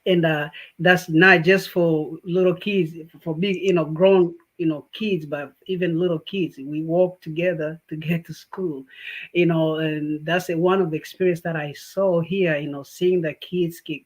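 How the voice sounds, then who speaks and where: A man from Tanzania, a guest on a podcast, talking in a warm, grateful way with many fillers and restarts. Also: fairly high pitch, steady tone, a little slow. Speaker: guest, healthcare business owner. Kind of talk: casual interview.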